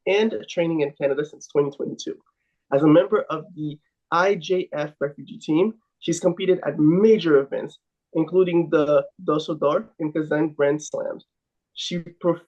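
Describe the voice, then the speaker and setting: A man's voice: fairly high pitch, darkish tone, highs cut off. A man hosting a podcast, reading an upbeat scripted introduction. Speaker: podcast host.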